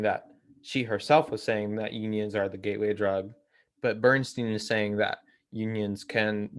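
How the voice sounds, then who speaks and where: A man's voice: fairly low pitch, no echo. A man hosting an informal book discussion, explaining ideas in a hesitant way and often correcting himself. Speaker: host of a political theory read-along.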